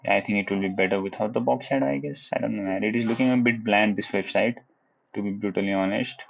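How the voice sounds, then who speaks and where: A young man from Nepal with a Nepali accent, live-streaming his coding practice in casual commentary. He thinks aloud in a relaxed way, hums little la-la tunes as he works, and sometimes drops into Nepali. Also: fairly low pitch, quick pace, creaky, poor audio. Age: young adult. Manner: laid-back, chuckles, switches into Nepali. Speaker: livestreamer learning web coding.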